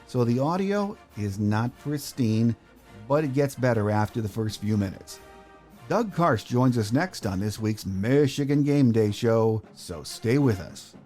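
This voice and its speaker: A man's voice: lowish voice, expressive, normal speed, darkish tone, faint music behind. An American man hosting a sports podcast, delivering scripted introductions and interview questions.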